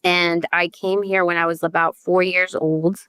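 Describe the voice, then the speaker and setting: A woman's voice: fairly low pitch, little pitch movement, measured pace, fairly bright tone, smooth, dry recording. A woman from El Salvador who grew up partly in Virginia, telling her personal story as a podcast guest in a candid, conversational way, often checking in with "you know" and "right?". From born in El Salvador, raised partly in Northern Virginia. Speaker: podcast guest, youth advocate.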